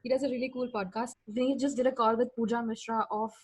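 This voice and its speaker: A woman's voice: on the high side, fairly even intonation, fast talker, slightly rough. A woman with an Indian accent, talking casually as a guest on a podcast. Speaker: podcast guest, fiction podcast creator. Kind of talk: casual chat.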